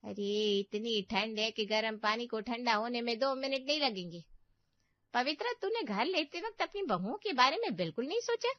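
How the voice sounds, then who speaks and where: A woman's voice: on the high side, very animated, normal speed, no room sound, muffled recording. A woman voicing a meddling older aunt in a family cartoon, complaining and lecturing with exaggerated sympathy. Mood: reproachful. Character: meddling older aunt, falsely pitying, nagging and fault-finding. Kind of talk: Hindi family cartoon story voice acting.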